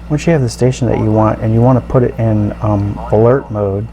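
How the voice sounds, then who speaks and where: A man casually demonstrating a product, explaining it step by step in a halting, informal way. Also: on the low side, fairly even intonation, highs cut off, a crowd in the background. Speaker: radio reviewer and video host.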